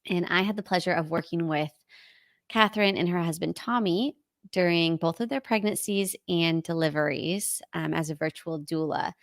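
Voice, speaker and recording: An upbeat woman hosts a podcast and greets her guest with real enthusiasm. Her voice sits low and carries a gravelly fry. The sound is dry and close to the mic. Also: normal speed, no room sound. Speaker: podcast host, doula. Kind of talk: podcast interview.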